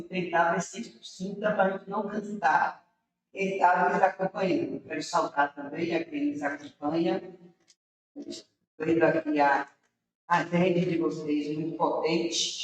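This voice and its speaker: A woman from interior Bahia, a city councilor guest telling her story to young people in an earnest, rambling way. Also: on the low side. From interior of Bahia, Brazil. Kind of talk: casual storytelling to a young audience. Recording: very echoey room, far from mic.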